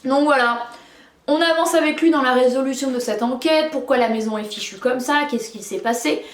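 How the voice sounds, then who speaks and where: A woman's voice: high-pitched, a little fast, roomy sound. A French-speaking woman reviewing books in casual, chatty talk, full of fillers and restarts. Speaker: book reviewer. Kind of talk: casual book review chat.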